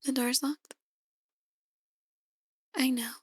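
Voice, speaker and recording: A woman performing an ASMR roleplay as a soft-spoken, possessive female demon, coaxing in a hushed, breathy voice that often slips into whispers. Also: sits high, fairly even intonation, drawn-out words, dry recording, studio quality, full character voice. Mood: gentle, mysterious.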